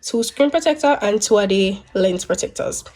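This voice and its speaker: A woman's voice: mid-range voice, lively intonation, moderate pace, darkish tone. A young woman vlogger, congested from a cold, chatting casually to camera with many restarts. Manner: chatty, stops and restarts, rambling. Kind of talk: casual vlog chat to camera.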